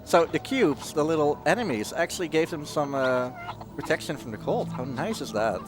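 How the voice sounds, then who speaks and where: Lively non-native English speedrunner, a man. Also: on the high side, normal speed. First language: not English. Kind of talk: casual live gameplay commentary. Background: video game music.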